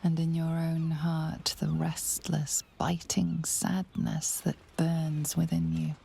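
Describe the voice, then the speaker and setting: A woman playing a solemn, intimate storyteller, narrating a fantasy game in a measured, hushed manner. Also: sits low, completely flat delivery, creaky, slight background noise, heavily acted.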